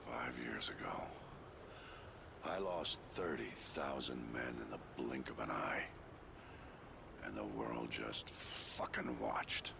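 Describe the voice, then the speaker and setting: Gravelly, bottomless-voiced bitter commander. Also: male voice, very deep voice, takes it slowly, noisy background, exaggerated voice. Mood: bitter, brooding. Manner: quiet, brooding, gravelly. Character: embittered, menacing older military commander. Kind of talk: dramatic game cutscene monologue.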